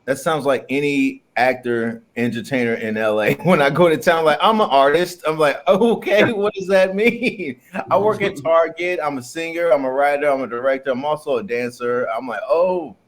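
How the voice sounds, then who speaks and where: A man's voice: on the high side, normal speed, few pauses. A man co-hosting a podcast, joking and teasing the guest in casual banter. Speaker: podcast co-host.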